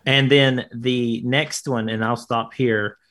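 A man's voice: mid-range voice, steady tone, slow, fairly bright tone. A man co-hosting a casual review podcast, hesitant with many restarts and dry jokes. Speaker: podcast co-host.

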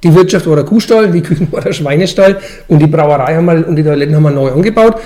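Male, forties, Franconian dialect, brewmaster interviewee; quick, relaxed-sounding, colloquial explanations. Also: fairly high pitch. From Franconian Switzerland, Bavaria, Germany. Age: 40s. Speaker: brewmaster and brewery owner, interviewee.

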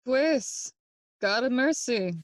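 Sardonic, half-mad young prince, deliberate slow pacing, dry close recording, classical radio play. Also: female voice, on the high side, expressive, no echo. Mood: mocking, cryptic. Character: mocking young prince feigning madness. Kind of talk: Shakespearean acting in audio play.

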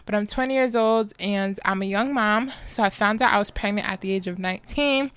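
A woman's voice: medium pitch, a little fast, a bit bright, clean tone. A woman in her early twenties hosting a solo podcast, chatting informally and casually with slangy phrasing. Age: early 20s. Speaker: podcast host.

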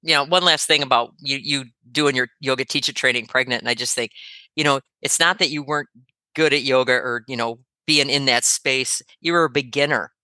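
A woman's voice: very deep voice, a little fast, pushed and bright, dry recording. A middle-aged woman, a yoga teacher co-hosting a podcast, speaking in an encouraging and thoughtful way with frequent fillers. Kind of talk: casual podcast chat.

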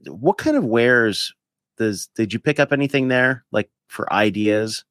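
A man's voice: medium pitch, unhurried, fairly bright tone, dry recording, studio quality. A man hosting a podcast in a casual, informal and enthusiastic chatting style. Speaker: podcast host. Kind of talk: casual interview chat.

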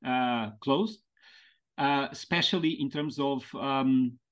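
A man from Portugal with a Portuguese accent, a podcast guest speaking English as a second language in a thoughtful, halting way, stretching out key words. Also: medium pitch, fairly bright tone. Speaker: podcast guest, mentoring founder. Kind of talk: reflective conversational interview.

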